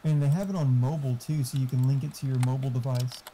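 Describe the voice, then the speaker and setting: A man's voice: medium pitch, steady tone, fast talker, mellow, slight background noise. A man chatting casually and giving tech advice in a blunt, explanatory, sweary way. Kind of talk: casual chat. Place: online voice chat.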